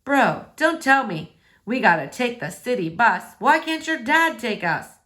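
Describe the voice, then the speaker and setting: A woman's voice: a little high, very animated, a little slow, pushed and bright, heavily acted. A woman reading the part of a brash teenage boy, a blunt and slangy best friend. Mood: blunt, curious.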